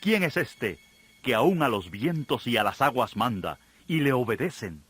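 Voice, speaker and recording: Astonished disciple in scripture drama; husky, mid-range, with lively swings of pitch. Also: male voice, unhurried, full character voice. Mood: fearful awe. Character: awestruck adult male disciple, fearful and marvelling. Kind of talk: dramatized audio Bible acting.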